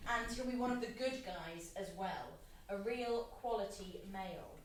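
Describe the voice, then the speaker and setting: A woman's voice: mid-range voice, moderate pace, lightly acted. A woman playing a studious, cautious teenage girl and loyal best friend in a naturalistic drama. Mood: affectionate, teasing. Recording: very echoey room, distant mic, faint noise.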